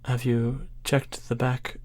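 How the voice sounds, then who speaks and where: A man voicing a small, timid, obedient magical servant in an audio roleplay, gravelly and husky. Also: medium pitch, completely flat delivery, slow, a little mellow, natural voice. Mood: hesitant, helpful.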